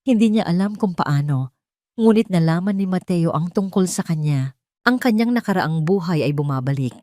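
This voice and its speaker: Woman narrator doing a dramatic scripted story, lowish voice, close dry sound. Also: animated, normal speed, dark tone, no echo.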